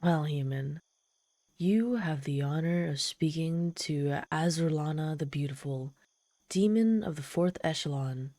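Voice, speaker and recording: A woman's voice: deep voice, measured pace, dark tone, dry recording. A woman playing a proud, lonely, desperate and socially awkward demon in a dramatic audio roleplay, haughty yet pleading. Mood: haughty, exasperated. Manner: haughty yet pleading, theatrical sighs and gasps. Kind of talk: dramatic ASMR roleplay storytelling.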